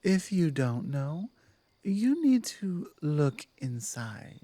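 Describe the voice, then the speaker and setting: A woman voicing a dominant but softening werewolf pack leader in an intimate ASMR roleplay, speaking in measured, deliberate phrases with weighty pauses. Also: low voice, big pitch swings, no room sound, exaggerated voice. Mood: probing, gentle. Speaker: audio roleplay performer.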